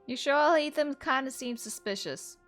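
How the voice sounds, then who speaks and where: A woman's voice: sits high, moderate pace, a bit bright. A young woman giving casual let's-play commentary, rambling informally and musing aloud as she plays. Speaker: gaming video creator. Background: game music.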